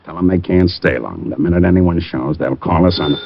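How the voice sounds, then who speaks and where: An actor playing a gruff, no-nonsense police lieutenant in a 1950s radio drama, brisk and matter-of-fact. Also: male voice, sits low. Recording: muffled old radio recording with faint hiss.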